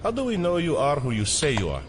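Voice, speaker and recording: An actor playing an officious, suspicious Saudi airport customs officer, brusque and disdainful, with an Arabic accent and halting English. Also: male voice, a little high, huge pitch swings, a little hiss or hum. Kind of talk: audio drama acting.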